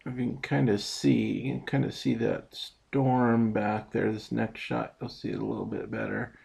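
Gravelly man rambling on a boat. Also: lowish voice, normal speed. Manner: relaxed, rambling, explains step by step with many ums. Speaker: fishing vlogger. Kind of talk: casual narrated fishing recap. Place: outdoors on a small boat on a lake. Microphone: boat-mounted action camera.

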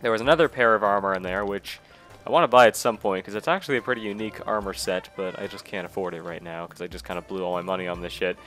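A man's voice: deep voice, fast, a bit bright, faint music behind. Nasal man with a cold, rambling. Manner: rambling, casual, self-deprecating. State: recovering from a cold. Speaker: let's play host. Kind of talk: gameplay commentary.

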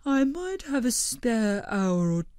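Storybook role: a woman putting on a mild, sensible blob creature, slow and gentle, dry close sound. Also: fairly high pitch, big pitch swings, dry recording, voice pushed up. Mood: mild concerned.

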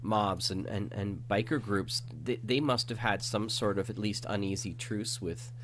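A man's voice: fairly low pitch, measured pace, fairly bright tone. A man hosting a podcast, putting questions to a guest in a casual, conversational way. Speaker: podcast host, interviewer. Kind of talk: casual interview. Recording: faint background noise and hum.

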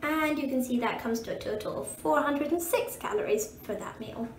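A woman's voice: sits high, moderate pace. A young woman with a Scottish accent, chatting upbeat to camera as she cooks in a small kitchen. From Scotland. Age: young adult. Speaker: student vlogger. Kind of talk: casual cooking vlog. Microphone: camera mic, handheld and propped up. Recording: echoey kitchen with faint background noise.

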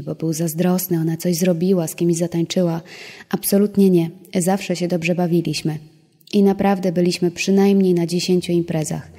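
A woman recounts what she witnessed in a dark, husky voice. The recording carries a long hall reverb. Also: on the low side. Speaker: interviewee, witness. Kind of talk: recounted testimony.

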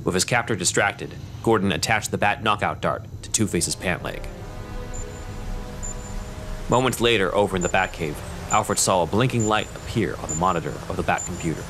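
A man's voice: deep voice, normal speed, a bit bright, a little hiss or hum. A man reading scripted narration as the narrator of an audio drama. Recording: music behind voice.

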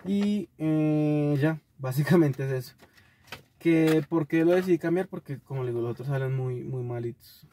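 A young Colombian man giving a casual, halting vlog review in an informal way, recorded inside a car. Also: a little high, warm tone. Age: young adult. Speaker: video host reviewing a gadget. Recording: noisy car-cabin recording with clicks.

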